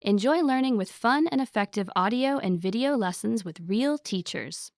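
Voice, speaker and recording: A woman's voice: on the high side, animated, normal speed, a little mellow, no room sound, studio quality. A woman reading a scripted promotional voice-over in an upbeat, salesy way. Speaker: ad announcer.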